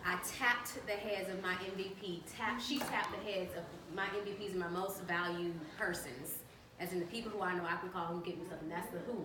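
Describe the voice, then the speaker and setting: Brisk woman panelist, distant echoey mic. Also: fairly low pitch, fairly even intonation. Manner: brisk, matter-of-fact storytelling. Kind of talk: panel discussion. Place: event room. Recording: echoey room, distant mic, background noise.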